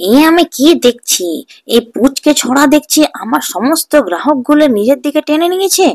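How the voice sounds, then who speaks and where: A woman voicing a jealous, scheming middle-aged male street-food seller in a children's cartoon, gruff and sneering. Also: high-pitched, lively intonation, normal speed, darkish tone, no echo. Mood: resentful, plotting. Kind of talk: Bengali children's cartoon dubbing.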